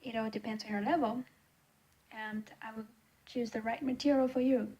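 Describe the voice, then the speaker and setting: Young female tutor, Chinese accent, slightly high voice, earnest, fillers, laptop webcam at home. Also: fairly even intonation, moderate pace, muffled recording. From China. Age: young adult. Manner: earnest, gently explaining, many ums and restarts. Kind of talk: casual teaching to camera. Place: small indoor room at home.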